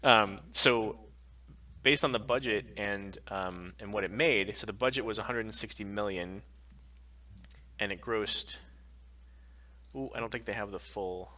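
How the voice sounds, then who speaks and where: A man with a fairly low pitch and a very forceful, bright edge chats casually as a livestream co-host. He talks with emphasis, and he sits a little back from the microphone. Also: unhurried, long pauses, distant mic. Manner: emphatic, frequent swearing. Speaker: podcast co-host.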